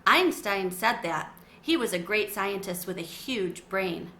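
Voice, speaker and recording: A woman's voice: mid-range voice, lively intonation, measured pace, sharp tone, echoey room, slight background noise, pitched below the performer's own voice. A woman voicing a small, clever schoolboy who explains things confidently.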